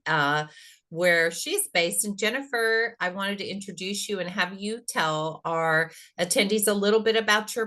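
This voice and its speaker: A woman's voice: on the low side, sharp tone. A woman with a Texas accent, speaking conversationally as a panelist on a webinar call. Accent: Texan. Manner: friendly, conversational, with fillers. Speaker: webinar panelist, content strategist.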